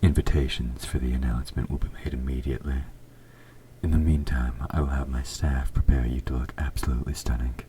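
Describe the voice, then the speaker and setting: A man playing an evil, possessive king in an audio roleplay, speaking formally and with measured, quiet menace, his S sounds sharp. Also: extremely low pitch, steady tone, slight background noise. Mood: smugly satisfied. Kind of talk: scripted audio roleplay, intimate dramatic monologue.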